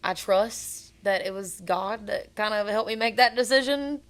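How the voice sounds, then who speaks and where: A young woman with a naturally raspy voice, telling stories in an earnest, rambling way as a singer being interviewed. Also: medium pitch, unhurried. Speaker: guest singer. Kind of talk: casual interview chat.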